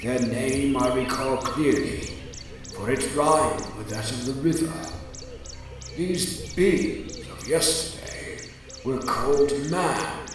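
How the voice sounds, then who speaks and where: A man voicing an ancient, wise demon of memory in a dramatic reading, with grave, slow declamation. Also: mid-range voice, voice pushed up, close to an everyday voice. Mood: grave, musing. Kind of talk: dramatic reading of a gothic tale. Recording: cavernous echo, distant, noisy ambience.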